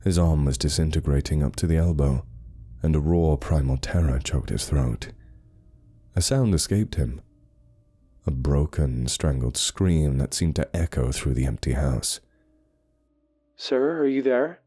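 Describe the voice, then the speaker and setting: Male narrator for scripted horror: extremely low pitch, dark mellow tone, dramatic delivery. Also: a little slow, breathy edge.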